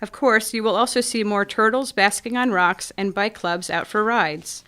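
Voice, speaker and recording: A woman acts out a gracious small-town café owner in a radio play. She speaks at a middling female pitch, in measured, descriptive phrases with a poetic turn. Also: sharp tone, clear, resonant tone, close to an everyday voice. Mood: reflective. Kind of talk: scripted community radio theatre acting.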